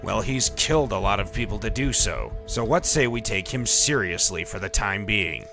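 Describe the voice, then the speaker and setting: An actor playing a short-tempered, no-nonsense police captain, blunt and commanding, in a comic-book audio drama. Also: male voice, lowish voice, expressive, normal speed, gravelly, music in the background. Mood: stern.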